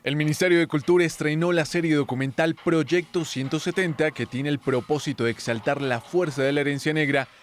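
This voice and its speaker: A man's voice: fairly high pitch, brisk, few pauses, slight background noise. A man narrating a scripted news report.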